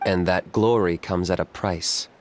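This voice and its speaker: Low, cool, blunt dealmaker. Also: male voice, fairly even intonation, background noise. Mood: dry, blunt. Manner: dry, measured, matter-of-fact. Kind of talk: video game voice acting.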